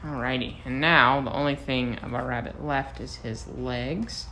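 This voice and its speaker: A man's voice: medium pitch, fairly even intonation, unhurried, pushed and bright, a little hiss or hum. An American man, a first-grade teacher, gives a casual, playful craft demonstration for young children, talking to them as he works.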